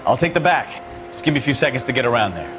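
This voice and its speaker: An actor playing a gung-ho, needling policeman in a video game, brisk and eager for action. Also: medium pitch, sing-song, music in the background, close to an everyday voice. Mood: goading. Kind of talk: cinematic video game acting.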